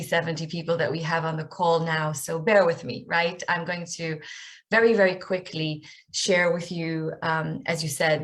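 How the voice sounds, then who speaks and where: A low-voiced Israeli woman in her forties speaks over a video call. She tells a personal story candidly, with plenty of ums along the way, and her voice sits deep for a woman. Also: a bit bright. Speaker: guest speaker.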